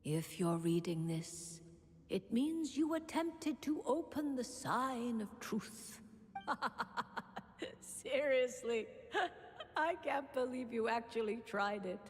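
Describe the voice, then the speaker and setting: A woman voicing an old witch mentor in a video game, reading a letter in a deliberate, lofty, scholarly manner. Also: a little high, lively intonation. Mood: mocking amusement. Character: old witch mentor, measured, cryptic and mocking. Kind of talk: dramatic letter narration. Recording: long reverb on voice. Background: game music.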